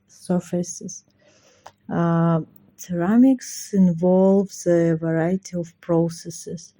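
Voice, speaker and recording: A woman, a ceramic artist speaking slowly and carefully about her work, with hesitant fillers. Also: on the low side, mellow. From Russia. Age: 40s.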